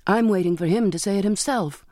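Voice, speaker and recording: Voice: mid-range voice, animated, normal speed, a little mellow, dry recording, clean studio sound, natural voice. A narrator voicing a stubborn, bitter young girl in an audiobook, with emphatic, emotionally strained, formal speech. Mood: tearful, stubborn.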